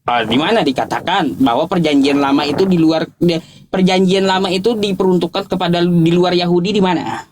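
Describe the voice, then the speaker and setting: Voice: medium pitch, brisk, slight background noise. A debater, speaking informally and insistently, challenging and repeating points in a religious argument. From Indonesia.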